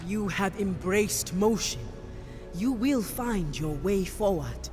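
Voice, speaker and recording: Solemn serpent priestess in a game, measured and slow, big echo, bit of music behind. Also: female voice, mid-range voice, lively intonation. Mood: solemn, ceremonial. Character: solemn, devout priestess of a sea serpent goddess. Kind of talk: dramatic game cutscene acting. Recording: reverberant hall with faint music behind.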